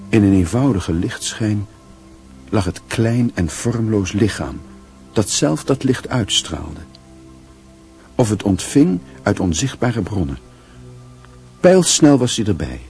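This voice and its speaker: A male actor playing a grave, brooding storyteller, reading literary narration in a radio drama in a measured, solemn manner. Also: lowish voice, warm tone.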